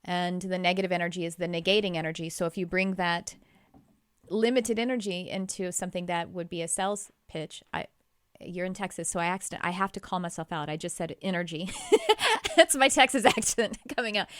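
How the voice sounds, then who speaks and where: A woman guest on a podcast, talking in an animated way, laughing often and restarting her sentences. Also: fairly low pitch, a little fast, clean tone, dry recording, studio quality. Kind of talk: casual conversational chat.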